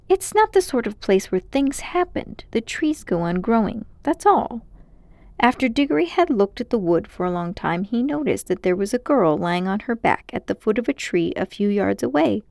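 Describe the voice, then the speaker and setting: High-pitched, very expressive woman storyteller. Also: creaky, no echo. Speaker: storybook narrator. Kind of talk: expressive read-aloud storytelling.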